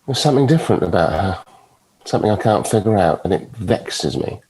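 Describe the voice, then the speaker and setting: An actor playing a suave, defiant devil, wry and theatrical, in a drama script read. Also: male voice, lowish voice, expressive, brisk, a crowd in the background, close to an everyday voice, low-quality recording. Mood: brooding, wry.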